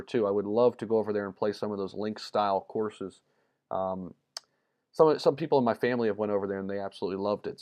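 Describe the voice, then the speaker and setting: A man with a fairly low voice, from the United States, chats casually on a livestream and fills his talk with ums and restarts. His voice sounds a little worn, as if he is slightly losing it. He reads viewer comments and answers them in an easygoing way. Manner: relaxed and chatty, many ums and repeated words. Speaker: crypto livestream host.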